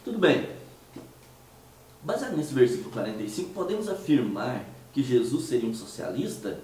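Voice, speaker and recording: A man from Minas Gerais with a Mineiro Brazilian Portuguese accent, hoarse from a cold, hosting a conversational commentary monologue with hesitant restarts and rhetorical questions. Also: mid-range voice, big pitch swings, moderate pace, darkish tone, very echoey, recorded from a distance, a little hiss or hum, low-quality recording. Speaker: host of a commentary show.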